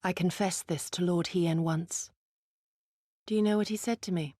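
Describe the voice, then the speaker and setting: Casting: actress as dutiful female shinobi for game drama, lowish voice, formal and solemn, dry close sound. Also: steady tone, moderate pace, warm tone, no echo, close to an everyday voice. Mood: solemn, wistful. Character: earnest, dutiful female shinobi resistance fighter. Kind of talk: dramatic video game acting.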